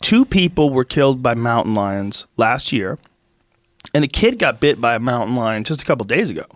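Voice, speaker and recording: A man in his fifties hosting a podcast, chatting casually in a lively, profane way while congested from a cold. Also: medium pitch, moderate pace, no room sound, studio quality. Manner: animated, profane storytelling. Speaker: podcast host. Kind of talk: casual chat. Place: studio with brick wall. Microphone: studio mic on boom arm, headphones.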